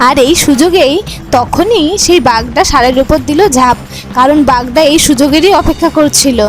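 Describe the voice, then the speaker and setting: A woman's voice: high-pitched, moderate pace, music in the background. A woman narrating a children's moral tale as its storyteller.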